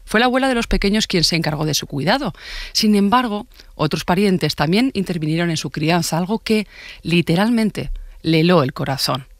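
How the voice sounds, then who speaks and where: A man's voice: sits high, lively intonation, fairly quick, no echo, clean studio sound. A man with an accent from Spain, narrating a true crime podcast from a script as its host.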